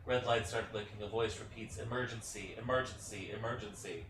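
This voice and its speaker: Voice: medium pitch, fairly even intonation, fairly quick, fairly bright tone. A person reading out stage directions as scripted narration for a play. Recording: roomy, distant mic with clear noise.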